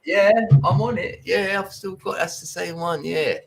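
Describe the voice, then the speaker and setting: A man's voice: sits high, steady tone, a little slow, vocal fry, husky. A man from London's East End with a Cockney accent, chatting casually in an easygoing, agreeable way and laughing often. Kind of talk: casual chat.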